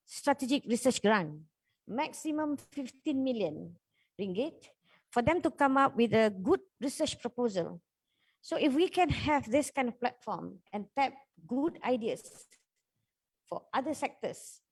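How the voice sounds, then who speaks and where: A woman from Malaysia speaking on a forum panel, earnest and halting as she lays out funding opportunities. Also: medium pitch, darkish tone, no echo. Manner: earnest, with hesitations and restarts. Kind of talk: panel discussion.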